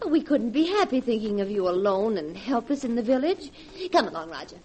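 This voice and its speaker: An actress playing a falsely sweet, insistent niece in a 1940s radio drama. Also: female voice, on the high side, very animated, a little fast, a little mellow. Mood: firmly coaxing. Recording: noisy vintage 1940s radio recording.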